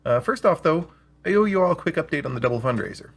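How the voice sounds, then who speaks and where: Husky-voiced male storyteller. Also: a little high, expressive, quick pace. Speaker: storyteller and show host. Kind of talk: scripted story reading with spoken intro.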